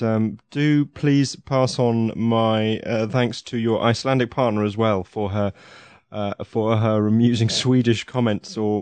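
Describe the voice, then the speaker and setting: A man's voice: on the low side, a little mellow, no echo. A man hosting a podcast, talking in a chatty, casual way with lots of fillers and restarts. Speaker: podcast host.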